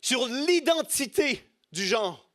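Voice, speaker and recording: A man's voice: extremely high pitch, animated, takes it slowly, a bit bright. A middle-aged man with a Quebec French accent preaching an impassioned sermon, emphatic and rhetorical, repeating key phrases. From Quebec, Canada.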